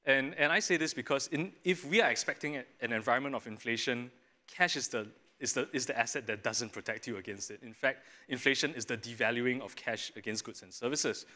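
A young man, a strategist on a studio panel, explaining clearly and fluently with small restarts, on a lapel mic. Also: medium pitch, a little fast, sharp tone. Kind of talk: panel discussion answer. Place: studio stage set.

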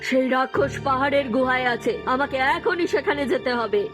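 A woman's voice: high-pitched, moderate pace, close to an everyday voice. A woman voicing a brave, protective magical moon in a cartoon, speaking in an earnest, exclamatory way. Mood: alarmed, resolute. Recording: music under the voice.

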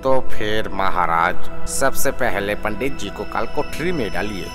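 A man's voice: fairly low pitch, animated, unhurried, over music. A man voicing a foolish, accusing royal courtier in a children's cartoon.

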